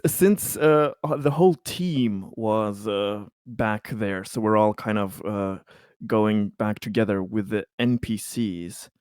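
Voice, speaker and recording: A man with a fairly low, smooth voice plays a tabletop game, speaking slowly and haltingly as he feels out each phrase. The sound is close and dry. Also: a little mellow, no echo. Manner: halting, deliberate, tentative phrasing. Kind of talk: casual in-game roleplay chat.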